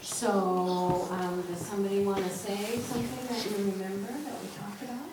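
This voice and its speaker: A woman leads an informal children's lesson in church, explaining things simply and prompting with questions. Her voice is at an average pitch for a woman. It is picked up from a distance with heavy echo and faint noise. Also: fairly even intonation, normal speed, a little mellow. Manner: simple teacherly phrasing with frequent questions. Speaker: children's message leader at church. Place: large echoey indoor church.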